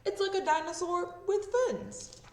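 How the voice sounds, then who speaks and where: A woman voicing keen, amazed gentlemen in a children's storybook, with emphatic exclamations. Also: very high voice, a little slow, a little hiss or hum, voice pushed up. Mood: keen, important. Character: amazed, keen gentlemen in an old seaside town. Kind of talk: children's storybook read-aloud. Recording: roomy echo, distant microphone.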